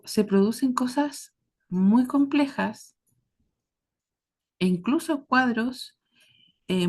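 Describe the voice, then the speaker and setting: A woman with a Chilean accent giving a reflective monologue to her listeners, speaking earnestly and deliberately in short, paused phrases. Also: medium pitch, a little mellow. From southern Chile. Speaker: host of a series about caregiving.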